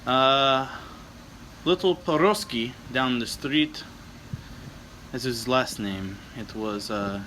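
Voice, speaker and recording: Solemn, halting Bulgarian man acted by a man, bright-toned. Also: medium pitch, slight background noise. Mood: deadpan. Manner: halting, broken English, deadpan. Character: solemn, wistful Bulgarian man reminiscing about his childhood. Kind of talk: improvised comic in-character storytelling.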